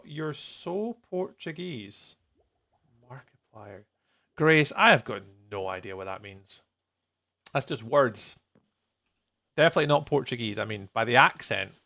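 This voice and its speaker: A man's voice: mid-range voice, gravelly, fairly bright tone. A man chatting casually on a gaming livestream, thinking aloud in a playful, rambling way. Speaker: live streamer, host.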